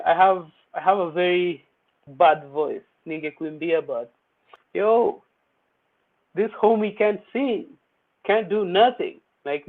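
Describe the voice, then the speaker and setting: A young man hosting an online poetry open mic in a chatty, playful way, mixing English with Swahili slang. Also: a little high. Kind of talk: casual livestream hosting. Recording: tinny phone-like audio.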